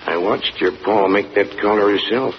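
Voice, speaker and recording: An actor playing a steady country neighbor with a calm slow rural drawl, in 1940s radio acting style. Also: male voice, on the low side, natural voice. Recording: noisy muffled old radio recording.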